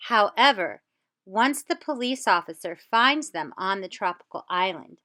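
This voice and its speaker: A woman teacher presenting a step-by-step lesson for children, with deliberate, encouraging delivery. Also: medium pitch, pushed and bright. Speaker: teacher presenting an educational show.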